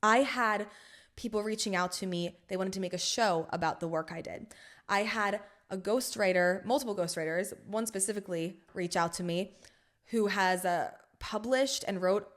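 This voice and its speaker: A young adult woman hosting her own podcast, talking in a frank, rambling way full of swearing and filler words, with emphasis that swings between loud and soft. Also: medium pitch, moderate pace. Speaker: podcast host. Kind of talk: casual solo podcast monologue.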